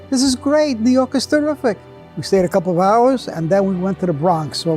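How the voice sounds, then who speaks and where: A man's voice: high-pitched, a little mellow. An older man, born in Hungary with Hungarian as his first language, telling his story in a recorded interview. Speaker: survivor interviewee.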